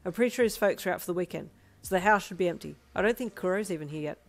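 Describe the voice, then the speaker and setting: A woman's voice: fairly low pitch, very fast, creaky. A woman voicing a cocky young jock. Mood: brisk. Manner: brash and teasing. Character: cocky young male athlete, mischievous.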